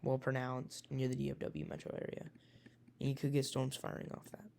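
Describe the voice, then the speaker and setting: A man with a raspy voice, a weather enthusiast casually talking through a forecast with many hesitations and self-corrections. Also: mid-range voice, completely flat delivery, brisk, a little mellow.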